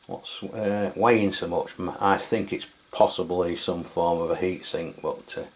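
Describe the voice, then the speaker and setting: A man's voice: low-pitched, fairly even intonation, measured pace, husky. A man from England giving a casual, hesitant product review with many fillers. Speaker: radio reviewer. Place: home radio room.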